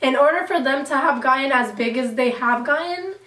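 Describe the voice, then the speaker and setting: Upbeat female channel host, high pitch, recorded at a distance in an echoey room. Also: fairly quick, a bit bright. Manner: upbeat, chatty, playful. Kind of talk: casual chat.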